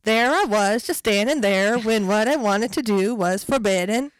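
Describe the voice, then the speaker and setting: A woman's voice: mid-range voice, normal speed, smooth, no echo. Laughing, self-deprecating woman co-host. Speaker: podcast co-host. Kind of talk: casual chat and reading a humorous essay.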